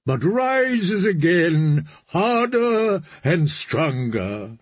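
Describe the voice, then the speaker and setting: A man voicing a fervent male priest of a sea god, preaching in a slow, solemn way. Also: high voice, big pitch swings, no echo, heavily acted.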